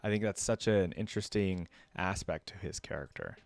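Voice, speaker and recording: A man's voice: deep voice, moderate pace, vocal fry, darkish tone. A young man giving casual reaction commentary, thinking aloud and repeating lines he has just heard. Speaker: video reactor.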